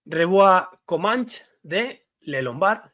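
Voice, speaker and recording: Slow, bright-voiced male host. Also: sits high, animated. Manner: reads out a list of titles in short bursts. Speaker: podcast host. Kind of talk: casual podcast chat.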